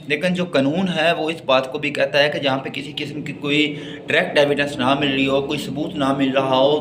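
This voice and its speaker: A man's voice: on the high side, fairly bright tone. Pakistani male law lecturer, noisy recording. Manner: explanatory, repeats and rephrases points, slow and halting when reading. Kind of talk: bilingual lecture, reading English text and explaining it.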